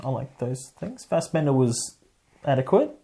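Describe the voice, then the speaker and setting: A man's voice: medium pitch, big pitch swings, normal speed, creaky, dark tone, a little hiss or hum. A man co-hosting a podcast, giving his opinions in an informal, rambling chat. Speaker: podcast co-host reviewing a film. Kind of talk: casual chat.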